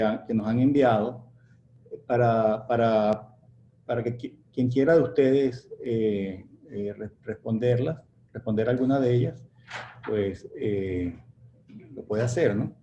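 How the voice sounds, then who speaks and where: A man's voice: mid-range voice, mellow. A man moderating an online panel, reading out audience questions with hesitant restarts, over a video call. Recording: dull echoey call audio with faint noise.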